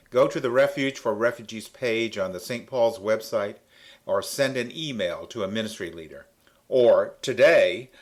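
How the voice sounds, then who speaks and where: A man speaks in an earnest, measured way, his voice sitting on the low side. His delivery is calm and scripted. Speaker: ministry volunteer. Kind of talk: scripted narration.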